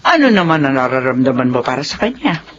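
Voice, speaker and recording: A woman's voice: rumbling low, very animated, quick pace, a bit bright, full character voice. A muffled radio drama recording with faint noise carries a stern Filipino mother. She is suspicious and confrontational, and her voice swings widely as she exclaims. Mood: stern, probing. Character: stern, suspicious, hot-tempered mother of grown daughters. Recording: dull lo-fi radio sound, slight noise.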